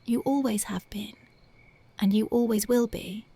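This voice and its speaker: A dreamy young punk girlfriend from an ASMR roleplay, voiced by a woman. Her voice is gravelly and husky, and her words come unhurried and soft. She keeps sinking into close, devoted whispers. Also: a little high, fairly even intonation, no room sound. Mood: tender, dreamy. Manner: soft, often drifting into whispers. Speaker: ASMR voice actress.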